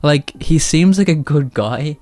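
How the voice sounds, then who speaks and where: A man's voice: fairly high pitch, lively intonation, unhurried, darkish tone. Blunt, mocking man doing commentary. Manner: blunt and mocking, swears freely. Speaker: commentary YouTuber. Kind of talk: casual commentary on clips.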